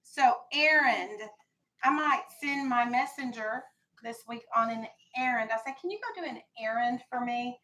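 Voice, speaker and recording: A woman's voice: high-pitched, fairly bright tone, low-quality recording. An American woman teaching a vocabulary lesson to young children in a classroom, instructing and prompting with questions and directions. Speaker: elementary school teacher.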